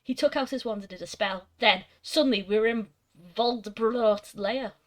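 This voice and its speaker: A woman's voice: on the high side, normal speed. An amused, giggly woman casually reading aloud and reacting on a group stream.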